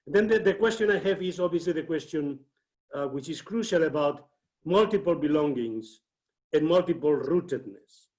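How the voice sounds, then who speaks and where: A man from Spain speaks at a fairly high pitch over a video call. He builds his argument slowly, with frequent hesitations and self-corrections. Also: a little mellow. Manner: thoughtful, with many hesitations and restarts. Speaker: seminar participant asking a question.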